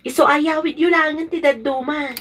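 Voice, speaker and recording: A woman's voice: sits high, steady tone, normal speed, fairly bright tone, a little hiss or hum. A woman playing a polite, hospitable young niece in a radio drama, speaking with an Ilocano accent. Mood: reassuring.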